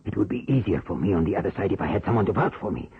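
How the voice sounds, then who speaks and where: An actor in an old radio drama playing a measured, calculating communist officer, speaking formally and deliberately. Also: male voice, low-pitched, lively intonation, slightly rough, no echo, heavily acted. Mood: earnest, guarded. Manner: deliberate, formal, hesitant pauses. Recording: muffled, narrow-band old radio recording.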